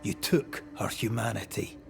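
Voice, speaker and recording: A man playing a stern, resolute ghost hunter in a dramatic game cutscene, speaking in a measured, accusatory manner. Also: lowish voice, creaky, soft background music. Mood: stern, accusing. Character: grave, resolute male ghost hunter passing judgment.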